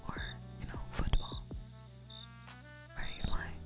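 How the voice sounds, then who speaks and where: Playful whispering woman streamer. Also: takes it slowly. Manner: soft whispering, playful and giggly, rambling with many "like"s. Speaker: live streamer. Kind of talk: whispered chat with live viewers. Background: music playing.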